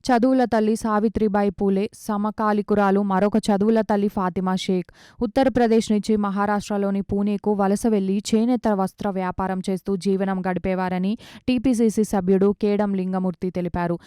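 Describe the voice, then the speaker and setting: A woman news reader delivers scripted reports in a smooth, clean voice. Her tone is soft and mellow at a middle pitch, and the sound is dry and close, without room echo.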